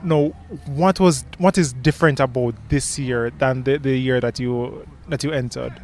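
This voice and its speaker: A man's voice: mid-range voice, fairly even intonation, moderate pace, a little hiss or hum. A man with a Jamaican accent asking questions as a radio reporter conducting an interview.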